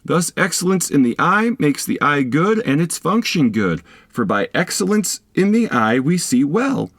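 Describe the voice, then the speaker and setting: A man reading a philosophy text aloud as an audiobook narrator, in a measured, didactic manner with deliberate phrasing. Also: fairly high pitch, big pitch swings, a bit bright, no echo, studio quality. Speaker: audiobook reader.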